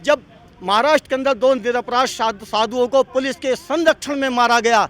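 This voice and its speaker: A man argues politics in a very high-pitched, indignant voice during a street interview. He is combative and challenging, firing off rhetorical questions at his opponents and the reporter. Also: moderate pace, fairly bright tone. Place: outdoors on a city street.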